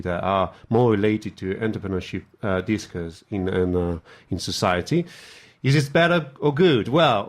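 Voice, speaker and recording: A man with an Italian accent, a university lecturer speaking as a guest on a student radio show in a friendly, halting way with laughs and restarts. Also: mid-range voice. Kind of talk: live radio guest chat.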